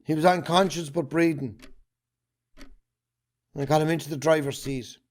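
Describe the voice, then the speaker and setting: Defensive Irish farming man, higher-pitched voice acted. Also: fairly even intonation, natural voice. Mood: halting, remorseful. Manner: defensive, halting. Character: defensive, guilt-ridden Irish farming man confessing under questioning. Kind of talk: amateur recorded stage drama acting.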